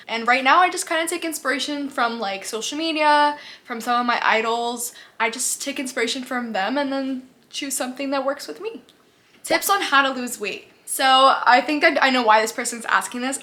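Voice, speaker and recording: A woman's voice: sits high, pushed and bright. A woman in her twenties from the United States, chatting casually to her camera in a question-and-answer vlog, upbeat, laughing easily and often saying "like". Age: 20s. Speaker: vlogger. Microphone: camera mic, talking to camera.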